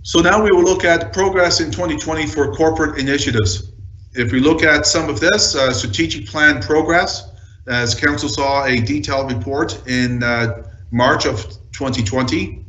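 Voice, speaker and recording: A man giving a formal slide presentation as a senior town official, reading out lists in measured short phrases. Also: a little high, fairly bright tone, reverberant, lo-fi sound.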